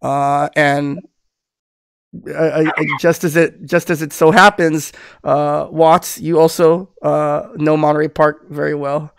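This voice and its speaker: Man, middle-aged, podcast host, rambling fillers, smooth clear voice, dry close mic. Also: fairly high pitch, little pitch movement, slow pace, no room sound. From born in the Philippines, raised in Southern California. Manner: rambling, many restarts and fillers. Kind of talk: casual video-call podcast interview. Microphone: headphones with studio mic on webcam.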